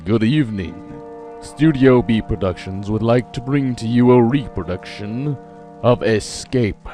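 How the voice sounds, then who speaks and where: A man's voice: medium pitch, unhurried, over music. A man announcing a radio drama with dramatic scripted narration in an old-time style.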